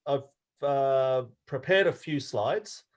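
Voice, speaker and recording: A man's voice: medium pitch, slow pace. Easygoing Australian man, rambling. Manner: easygoing, rambling, many restarts. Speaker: guest speaker. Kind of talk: informal talk to a club.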